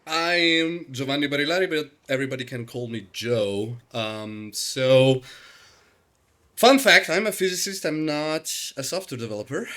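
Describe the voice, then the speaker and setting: Italian man hosting a rambling chat. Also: medium pitch. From Italy. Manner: relaxed, rambling with many fillers and restarts. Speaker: podcast host. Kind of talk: casual chat.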